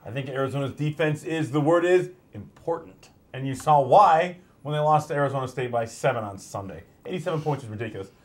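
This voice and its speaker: A man's voice: mid-range voice, brisk, few pauses, darkish tone. A young man doing casual sports commentary on a panel, with a cocky, teasing, joking manner. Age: young adult. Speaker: sports show analyst.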